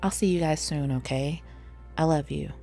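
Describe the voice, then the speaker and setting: A woman's voice: deep voice, moderate pace, vocal fry, mellow, pitched above the performer's own voice. A woman reader plays a young woman student in an audiobook. She is determined and pointed, holding back exasperation in clipped replies. Faint music plays behind her. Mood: hurried. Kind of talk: audiobook character voice.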